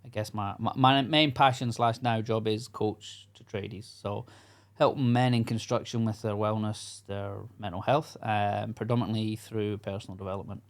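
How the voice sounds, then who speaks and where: A man's voice: fairly low pitch, steady tone, moderate pace, few pauses. A middle-aged Scottish man talking casually as a podcast guest, earnest and frank with many fillers and restarts. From Scotland. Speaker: podcast guest, coach to construction workers. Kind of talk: casual interview chat.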